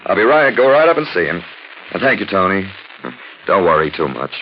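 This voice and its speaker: A suave gentleman sleuth, low-voiced and theatrical, swinging freely in pitch. He is witty and florid, on a dull vintage radio drama recording. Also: big pitch swings, fairly quick, background noise. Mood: urbane, wry. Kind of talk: 1940s radio drama acting.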